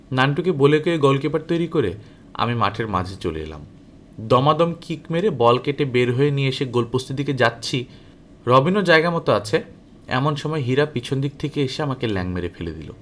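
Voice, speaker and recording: A man narrates a first-person story as an audiobook reader. His delivery is animated and expressive, with a pitch that moves up and down a great deal as he dramatizes the telling. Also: slight background noise.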